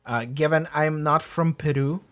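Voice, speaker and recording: Man rambling casually, higher voice. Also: steady tone, normal speed, a bit bright, smooth. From Argentina. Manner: loose, swearing, many fillers and restarts. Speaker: reaction video host.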